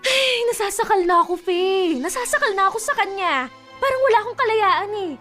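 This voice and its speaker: A resentful eighteen-year-old little sister in a radio drama. Her voice is very high and plaintive, forever complaining like someone who feels held back. Music plays underneath. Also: lively intonation, a little fast, pushed and bright, exaggerated voice. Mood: frustrated, whining.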